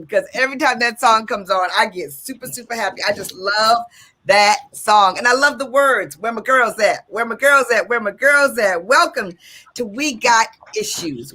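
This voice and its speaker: An exuberant woman hosting talk radio with a bright, forceful voice. She is from Detroit and talks in a sing-song way, repeating her lines for emphasis. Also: a little high. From Detroit, USA. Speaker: radio show host.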